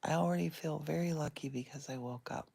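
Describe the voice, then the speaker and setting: A middle-aged woman talking softly and unhurriedly from her bed, recorded on a phone she holds in one hand. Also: sits low, vocal fry, slightly rough. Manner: soft and whispery, unhurried. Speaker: vlogger. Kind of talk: casual guided meditation vlog. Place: in bed at home. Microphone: phone held in one hand.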